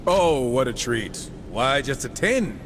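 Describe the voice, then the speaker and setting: A man's voice: medium pitch, big pitch swings, slow pace, a bit bright, slight background noise. Cocky, swooping, wisecracking horseman. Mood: sarcastic. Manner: sarcastic, breezy, quipping. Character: cocky, wisecracking male horseman, irreverent, impatient gunslinger type. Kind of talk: video game cutscene acting.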